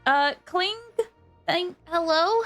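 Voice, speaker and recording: A woman's voice: extremely high pitch, slow, a bit bright, no echo, soft background music. A woman hosting a game livestream, chatting casually and informally with viewers, often drifting into asides.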